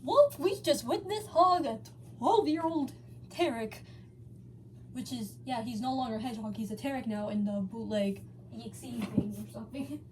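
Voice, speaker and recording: A woman's voice: fairly high pitch, moderate pace, slight background noise, low-quality recording. A woman hosting a dramatic fan fiction reading, theatrical but casual, sometimes stumbling over words. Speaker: story reader, channel host.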